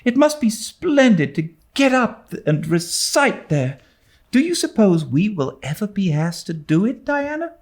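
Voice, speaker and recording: A man's voice: sits high, sing-song, measured pace, voice pushed up, heavily acted. A man voicing a dreamy, imaginative young girl in a storybook reading, airy and gushing with wonder. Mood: rapturous. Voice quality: breathy, airy. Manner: gushing, awestruck.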